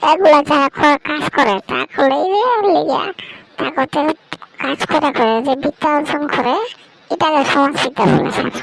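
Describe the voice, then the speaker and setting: A man voicing a cheeky, puzzled schoolboy in a comic cartoon, talking in a questioning, halting way. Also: very high-pitched, vocal fry, a bit bright, heavily acted, low-quality recording.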